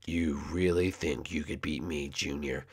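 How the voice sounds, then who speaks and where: Man acting out a cartoon hedgehog villain, very low, creaky, surly, boastful, curt threats. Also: steady tone, unhurried, heavily acted. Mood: menacing. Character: Shadow, menacing boastful male hedgehog, cool and surly.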